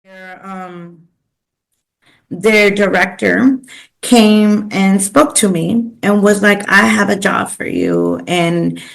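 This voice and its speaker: A woman's voice: mid-range voice, expressive, slow, gravelly, rough recording. A woman who is a native Spanish speaker, talking earnestly and at length about her work as an interview guest. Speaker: podcast guest, pro bono legal coordinator. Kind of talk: conversational interview, personal career storytelling.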